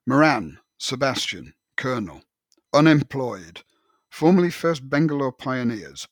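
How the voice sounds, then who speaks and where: Man, podcast host, mid-pitched voice, conversational book discussion, hesitant and halting, repeats words, very dry sound. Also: big pitch swings, no echo.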